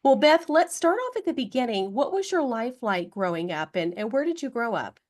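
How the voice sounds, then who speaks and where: A woman's voice: on the high side, very animated, normal speed. A woman hosting a podcast, reading a polished introduction and asking her guest questions. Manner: polished, upbeat delivery. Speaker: podcast host. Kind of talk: scripted intro read, then interview questions.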